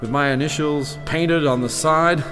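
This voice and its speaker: A man's voice: a little high, fairly even intonation, moderate pace, lightly acted. An older man playing a timid humbug wizard and former circus balloonist, stammering and pleading in a fantasy audio drama. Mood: sheepish reminiscing. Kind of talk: full-cast fantasy audio drama acting. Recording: long reverb, music behind.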